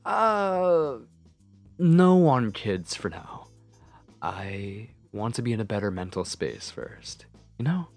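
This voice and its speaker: Lovestruck yandere man played by a male actor, ASMR roleplay. Slow, gravelly delivery, nervous and intimate, hesitant phrasing. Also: mid-range voice, expressive, soft background music. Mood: earnest, nervous. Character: shy, lovestruck obsessive yandere man on a date.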